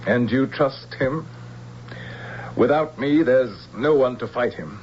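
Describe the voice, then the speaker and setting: An actor playing a ruthless, power-hungry general, commanding and scornful, in a radio drama. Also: male voice, medium pitch, takes it slowly, natural voice. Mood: suspicious. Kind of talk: radio drama villain acting. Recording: dull lo-fi radio recording, faint hiss.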